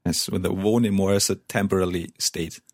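A man's voice: sits low, fairly even intonation, moderate pace, no echo, clean studio sound. A man co-hosting a language lesson, giving brief corrections and encouragement. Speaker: German language co-host.

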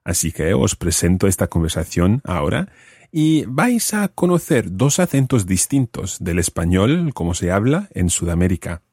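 A man with a fairly low voice hosts an interview, talking in a friendly, clear and measured way. The sound is close and dry, with no room echo. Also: sing-song, darkish tone. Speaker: host. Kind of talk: podcast interview. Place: Strasbourg.

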